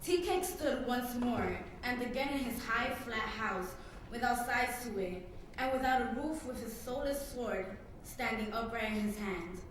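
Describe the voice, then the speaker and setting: High school girl reading a novel out loud, kinda high voice, far from mic in echoey hall. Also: unhurried. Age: teenager. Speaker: student narrator. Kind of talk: dramatic literary reading. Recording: very echoey hall, distant mic, faint noise.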